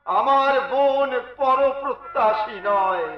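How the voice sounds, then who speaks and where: An actor playing a righteous brother defending his wronged sister, declaiming in Bengali folk theatre melodrama. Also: very high voice. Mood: indignant, defiant. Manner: declamatory, drawn-out emphatic phrases. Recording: echoey, dull stage recording, loud music.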